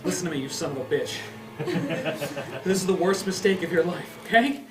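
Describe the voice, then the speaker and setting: A man's voice: a little high, moderate pace, recorded from a distance, music in the background, close to an everyday voice. A man reading the part of a wounded man who threatens, bargains and begs for his life at a comedy table read. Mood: threatening. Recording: roomy echo, off-mic, faint noise.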